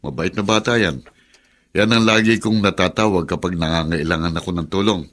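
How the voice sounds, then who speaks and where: A man's voice: sits low, a little fast, a bit bright. A man playing a helpful, persuasive older male cousin in a Filipino radio drama, coaxing and matter-of-fact.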